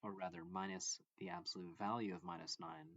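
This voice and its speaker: A man with a deep, low-pitched voice reads a lesson aloud in short, deliberate phrases, like a teacher walking students through a textbook. The recording is muffled and lo-fi, with noticeable room echo. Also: sing-song, gravelly, bright, forceful tone. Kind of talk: instructional read-along of a math textbook. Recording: dull lo-fi, echoey room.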